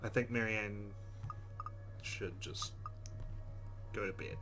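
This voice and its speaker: A man's voice: fairly low pitch, little pitch movement, slow pace, noisy background. A man hosting a let's play, giving casual commentary as he goes.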